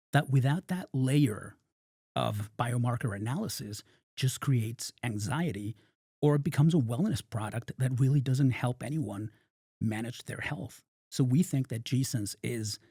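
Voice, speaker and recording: A middle-aged man from Argentina speaks in a mellow, relaxed voice. His answers come measured and thoughtful, often drifting quieter at the ends of phrases, in a dry, close recording. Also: mid-range voice, breathy edge, no room sound. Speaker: startup founder and guest. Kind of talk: interview answers.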